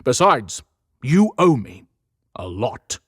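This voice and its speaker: A man's voice: mid-range voice, very animated, slow, husky, no echo, clean studio sound, full character voice. A man voicing Santa Claus as a firm, frank, businesslike older man in a dramatized audiobook. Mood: confronting.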